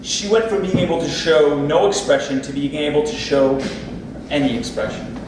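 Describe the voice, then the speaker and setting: A man's voice: fairly high pitch, normal speed, natural voice. A man acting a smug plastic surgeon, distant and echoey. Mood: proud, intense. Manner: rhetorical, self-assured, salesman-like. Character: smug, ambitious plastic surgeon husband, boastful about his work. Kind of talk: theatrical monologue at a staged play reading. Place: indoor theatre space, distant microphone. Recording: echoey, very noisy room from far off.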